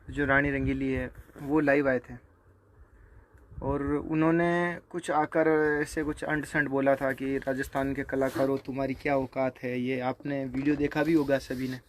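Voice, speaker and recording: A man speaking informally to viewers, remonstrating in a halting, repetitive way. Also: medium pitch, slight background noise. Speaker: singer. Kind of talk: informal spoken video response to viewers.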